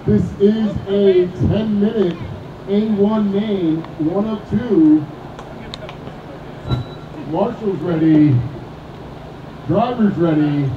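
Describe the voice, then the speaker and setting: Loud high-voiced track announcer on echoey PA. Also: male voice, lo-fi sound. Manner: reads driver lineups and lap counts. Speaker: race announcer. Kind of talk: PA race calling. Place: outdoor dirt RC race track. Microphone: PA loudspeaker. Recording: echoey PA heard from afar, noisy.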